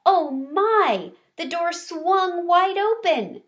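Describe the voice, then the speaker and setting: A woman voicing a young, innocent female guinea pig in a children's storybook, with a soft voice and a sweet, naive, questioning manner. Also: very high voice, lively intonation, extremely slow, voice pushed up, exaggerated voice. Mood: amazed.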